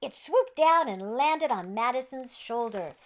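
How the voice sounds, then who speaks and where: A woman's voice: a little high, animated, a little slow. A woman hosting a children's read-aloud, narrating a picture book in a playful, exaggerated way aimed at young listeners. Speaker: children's storyteller and host.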